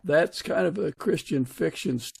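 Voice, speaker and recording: Podcast host guy, husky creaky voice, casual interview chat, close dry sound. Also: on the high side, moderate pace, a little mellow, dry recording.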